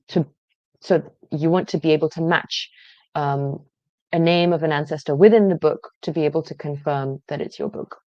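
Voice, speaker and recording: A woman presenting a genealogy database, explaining each step in a conversational way with frequent pauses. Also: sits low, mellow, dry recording, muffled recording. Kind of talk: screen-share database walkthrough.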